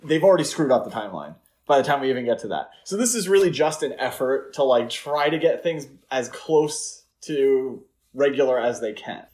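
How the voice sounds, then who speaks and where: Male podcast co-host, mid-range pitch. Conversational, opinionated, explanatory, informal film-discussion delivery. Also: expressive, moderate pace, darkish tone. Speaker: podcast co-host and article writer.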